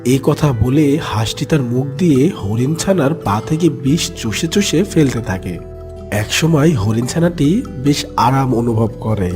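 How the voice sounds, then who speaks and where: Animated male animal-fable narrator. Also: mid-range voice, unhurried, over music.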